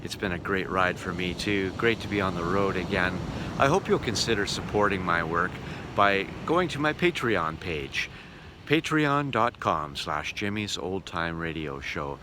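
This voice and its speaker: A man's voice: low-pitched, expressive, unhurried, noisy background. A man hosting a casual travel vlog, narrating with wry, joking asides. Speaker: vlog host and travelling musician.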